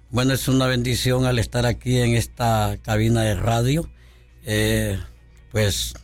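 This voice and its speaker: A man from Honduras, a pastor speaking as a radio guest in a studio, devout and halting with many fillers. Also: mid-range voice.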